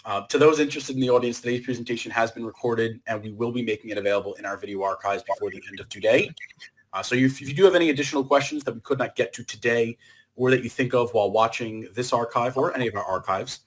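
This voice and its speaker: A man's voice: mid-range voice, fairly even intonation, fast talker, a little hiss or hum, poor audio. A man hosting and moderating a webinar, delivering formal, polished closing remarks. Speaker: host and moderator.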